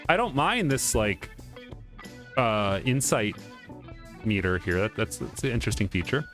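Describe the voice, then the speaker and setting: A man's voice: lowish voice, very animated, measured pace, a bit bright. A man playing a game, reading its text aloud and breaking off for informal asides as he comments. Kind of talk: let's play commentary with dialogue read aloud. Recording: music behind the voice.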